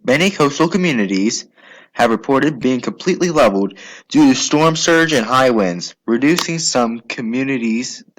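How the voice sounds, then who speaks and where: Male narrator, scripted news style. Formal read, very varied pitch, occasional stumbles. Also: lively intonation, normal speed, low-quality recording.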